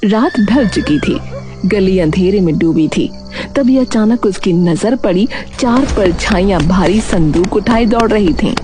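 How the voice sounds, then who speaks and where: A woman's voice: mid-range voice, animated, unhurried. A woman narrating a scripted story dramatically, with music playing behind her. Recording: background music throughout.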